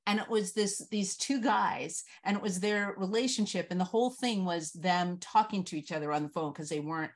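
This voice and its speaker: A woman's voice: mid-range voice, brisk, few pauses. A middle-aged woman talking casually as a panel host, recorded indoors over a video call. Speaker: festival host and panel moderator. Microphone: video call mic.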